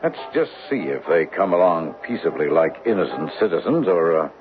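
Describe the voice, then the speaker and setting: A man's voice: deep voice, sing-song, fairly quick. An actor playing a seasoned, firm detective agency boss in a radio drama, middle-aged, with an authoritative, folksy, fatherly way of lecturing. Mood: wry, steady. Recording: dull radio broadcast recording with music.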